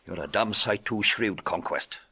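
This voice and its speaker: An actor playing a blustering, exasperated British police detective in a golden-age radio drama, gruff and emphatic. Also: male voice, fairly low pitch, a little slow, bright, forceful tone, heavily acted. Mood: confiding.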